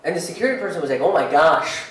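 A man in his thirties performing a stand-up comedy set on a theater stage, telling a story and acting out other people's lines with big jumps in pitch. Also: animated, racing. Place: theater stage in front of a curtain, live audience. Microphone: handheld mic. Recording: roomy hall audio from a distance.

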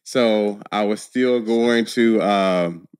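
Guest actor, thirties, low-ish voice, animated storyteller, dry studio sound. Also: male voice, takes it slowly, smooth, no echo. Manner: animated storyteller, laughs often. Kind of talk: casual interview chat. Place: podcast studio. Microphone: studio mic on boom arm, headphones.

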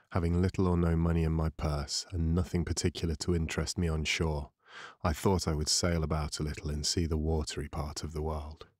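A low-voiced man narrates a classic novel in a measured, dramatic way. His tone is husky, with a breathy rasp to it. The recording is close and dry, with no room sound. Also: darkish tone, dry recording. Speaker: audiobook narrator. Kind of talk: scripted literary audiobook narration. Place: dry studio voice-over recording.

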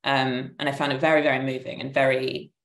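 A woman's voice: extremely low pitch, flat delivery, brisk, gravelly. A woman in her thirties reflecting hesitantly in a panel discussion, searching for words. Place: living room, indoors. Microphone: video call, laptop at arm's length. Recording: roomy, echoing video call.

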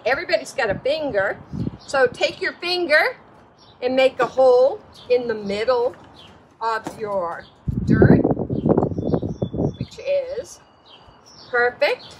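Slow-paced, high-voiced older woman teaching children. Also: a bit bright, rough recording. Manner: encouraging, praising, instructing children. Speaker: children's storytime host. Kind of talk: hands-on gardening demonstration for young children. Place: outdoors at a table in the wind. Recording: breezy outdoor noise, birds.

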